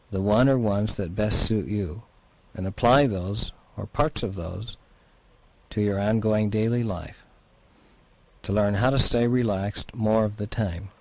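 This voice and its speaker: A man leading a guided relaxation exercise as a therapist, in a soothing, unhurried, gently instructing manner. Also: on the low side, poor audio.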